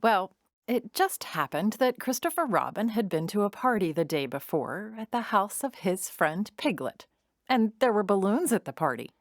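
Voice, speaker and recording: A woman narrating a children's storybook drama in an expressive, deliberate storytelling manner. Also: medium pitch, no echo, clean studio sound.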